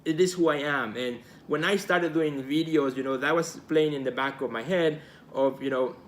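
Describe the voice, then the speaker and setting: A man's voice: on the high side, normal speed, slight background noise. A man from Mexico with a Mexican Spanish accent, Spanish his first language, hosting a casual live video talk as an art career coach, earnest and encouraging, often repeating his key phrases.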